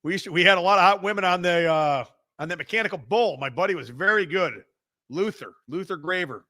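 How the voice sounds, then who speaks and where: A man with a Chicago accent hosting a live show, loud and profane, bantering and reading out the chat. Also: sits high, a little fast. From Midwestern United States. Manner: loud, rowdy and profane, with bursts of laughter.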